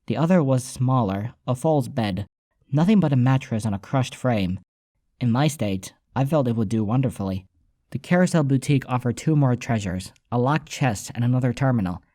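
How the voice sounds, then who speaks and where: A man's voice: medium pitch, dark tone, no room sound, clean studio sound. A man reading scripted first-person story narration as an audiobook narrator.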